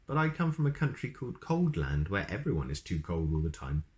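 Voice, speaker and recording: A man's voice: sits low, sing-song, a little fast. A man voicing a timid, innocent little storybook fellow in a naive, puzzled manner.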